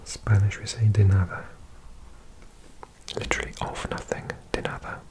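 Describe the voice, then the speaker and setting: A person giving an ASMR language lesson in a breathy whisper, softly repeating words in an unhurried way. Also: low-pitched, level tone. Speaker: language teacher.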